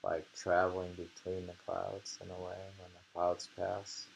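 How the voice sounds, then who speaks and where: A man chatting casually and reading short poems aloud, musing and pausing between thoughts. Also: low-pitched, monotone, vocal fry, a little hiss or hum, rough recording. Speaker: poetry reader and discusser. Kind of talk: casual chat with slow poem reading.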